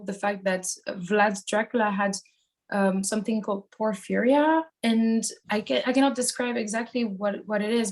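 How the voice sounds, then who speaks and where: A woman's voice: medium pitch, a little mellow. A woman from Switzerland, a band singer, giving casual interview answers in a thoughtful, hesitant way as she searches for phrasing.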